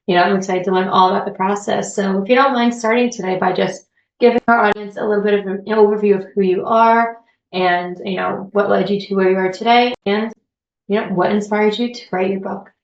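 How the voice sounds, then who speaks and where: A woman's voice: medium pitch, steady tone, brisk, reverberant, lo-fi sound. A woman hosting a podcast, giving polished intros and asking questions in an upbeat way. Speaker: podcast host.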